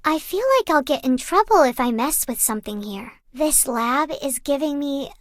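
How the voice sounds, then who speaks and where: A woman playing a gentle, bookish young librarian in a video game, wistful and earnest, like someone telling stories. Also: high voice, animated, moderate pace, no room sound, clean studio sound. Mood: gentle, curious. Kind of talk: video game character voice lines.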